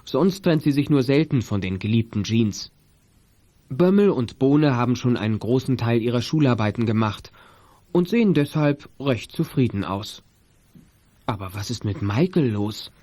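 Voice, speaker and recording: A man's voice: medium pitch, lively intonation, normal speed, mellow, close to an everyday voice. A man narrating a children's detective audio play in a scripted storytelling style.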